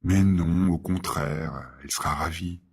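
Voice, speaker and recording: A man's voice: low-pitched, a little slow, slightly rough. A man in a casual everyday dialogue for learners, speaking playfully.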